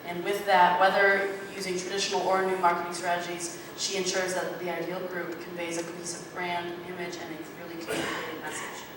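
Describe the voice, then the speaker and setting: A woman's voice: on the low side, completely flat delivery, fairly quick, hardly any pauses, a crowd in the background. A woman introducing a speaker at an event, hesitant and full of fillers. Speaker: organizer introducing the moderator. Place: event room. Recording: echoey hall mic from far off, background noise.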